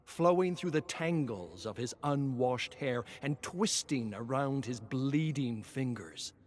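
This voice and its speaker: A male narrator tells a dark story with theatrical weight. He speaks very slowly in a mid-range pitch. His delivery is brooding and often hushed. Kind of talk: dramatic scripted narration.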